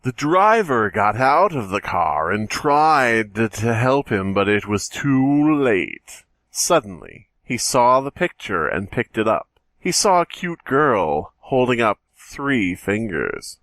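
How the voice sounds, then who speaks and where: Animated dude on a comedy podcast, crude banter and story reading, dry close sound. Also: male voice, medium pitch, takes it slowly, no echo. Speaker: podcast co-host. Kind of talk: casual joking group chat and story reading.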